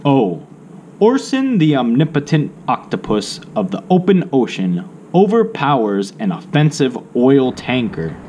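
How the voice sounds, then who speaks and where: A man narrating a children's alphabet picture book aloud, in deliberate, separated phrases with drawn-out words. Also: medium pitch, huge pitch swings, dark tone, muffled recording. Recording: faint background noise.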